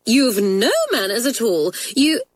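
A woman's voice: on the high side, animated, unhurried, voice pushed up. A woman reading a children's storybook, voicing an impatient, hot-tempered schoolgirl who scolds bluntly and emphatically. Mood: raging.

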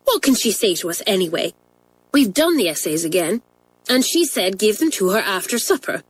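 A woman voicing a bold, impulsive schoolgirl in a storybook, with a brisk, forthright manner. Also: mid-range voice, very animated, slightly rough, rough recording. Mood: bold, urging.

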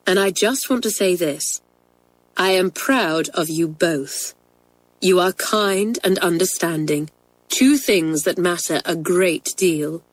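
A woman voicing a kind, gentle headmistress who speaks in a calm, measured way in a storybook reading. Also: fairly low pitch, no echo, rough recording. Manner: calm, measured, soft-spoken. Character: kind, gentle female headmistress, middle-aged.

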